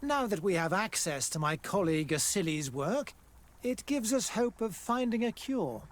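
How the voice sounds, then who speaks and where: A man's voice: sits high, big pitch swings, normal speed. An actor playing a formal, pompous male scientist who runs a colonial laboratory in a fantasy game. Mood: measured. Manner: formal, pompous, loftily dismissive. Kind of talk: scripted fantasy game acting.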